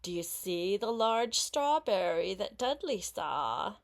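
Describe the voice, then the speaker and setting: Slow, expressive older woman reading aloud. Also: mid-range voice, gravelly. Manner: unhurried, expressive, explains words and asks questions. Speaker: grandmother reading a picture book aloud to a child. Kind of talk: storybook read-aloud with chatty asides to a young listener.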